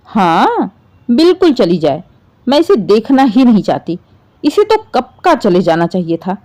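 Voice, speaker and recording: A woman's voice: very animated, unhurried, mellow. A woman voicing a bitter, possessive middle-aged mother-in-law in a dramatic story, scolding and complaining. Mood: defiant. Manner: shrill indignant complaining, sarcastic.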